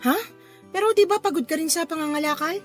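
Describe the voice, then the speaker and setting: A woman playing a caring, inquisitive housewife and mother in a radio drama, homely and expressive. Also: very high-pitched, fast talker, soft background music. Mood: caring, curious. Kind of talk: Filipino radio drama acting.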